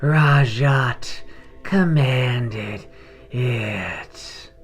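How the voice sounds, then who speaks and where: A dead gnoll, dragged back to speak in a fantasy tale. The words come out breathy, very slow and laboured, drained and reluctant, with music behind them. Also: male voice, medium pitch. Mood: strained, lifeless.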